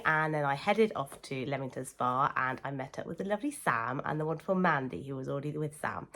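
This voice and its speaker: Croaky, chatty woman. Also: deep voice. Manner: chatty and gushing, warm praise for friends. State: croaky from a cold. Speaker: sewing vlogger. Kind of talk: casual chat to camera.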